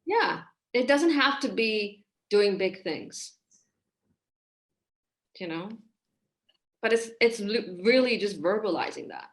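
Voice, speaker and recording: A woman in her thirties from Hong Kong, a relationship coach talking in a casual podcast interview over a video call, expressive and gesturing as she speaks. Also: medium pitch, slow pace, pauses often. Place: small room indoors.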